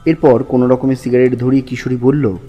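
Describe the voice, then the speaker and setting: A man narrating a scripted audio story in a husky voice. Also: mid-range voice, flat delivery, fairly quick, muffled recording, soft background music.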